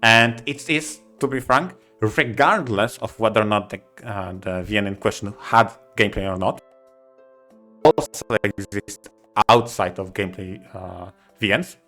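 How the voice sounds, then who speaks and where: A man co-hosting a podcast, giving opinions casually in a halting way, repeating short phrases for emphasis. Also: lowish voice, bright, forceful tone, soft background music. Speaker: podcast co-host.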